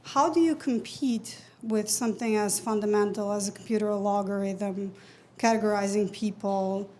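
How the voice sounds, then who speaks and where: Woman from Europe in the audience, measured delivery, faint room hum behind. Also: medium pitch, fairly even intonation, warm tone. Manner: measured, with many fillers. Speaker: audience member. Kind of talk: question-and-answer at a talk.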